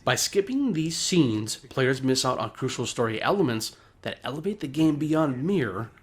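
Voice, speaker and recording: A man's voice: medium pitch, big pitch swings, normal speed. A man reading scripted video essay narration in a polished, formal, explanatory way.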